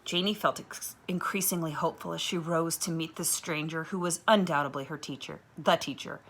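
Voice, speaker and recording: Bright-voiced woman reading a story. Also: low voice. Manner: clear, expressive storytelling. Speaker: reader of a children's novel.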